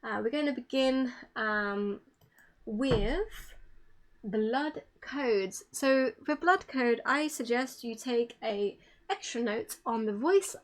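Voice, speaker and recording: A woman's voice: a little high, animated, takes it slowly. A woman presenting informally online, explaining things in a slightly hesitant way.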